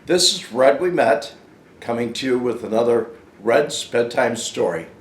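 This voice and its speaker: An older man telling a casual, unscripted story in a halting, rambling, plain-spoken way. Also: mid-range voice, expressive. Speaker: storyteller, former informant hosting his own channel. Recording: echoey room with faint background noise.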